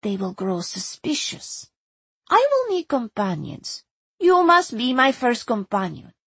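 A woman's voice: fairly high pitch, very animated, measured pace, a bit bright, husky, dry recording, heavily acted. A woman voicing a weary, resigned yet stern woman with formal, grave speech in an audiobook. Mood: demanding.

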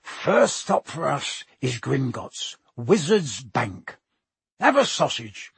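A man voicing a gruff, friendly giant gamekeeper, hearty and proud, with husky, folksy, colloquial speech. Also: mid-range voice, big pitch swings, slow pace, no echo, exaggerated voice. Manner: folksy, colloquial, dropped words.

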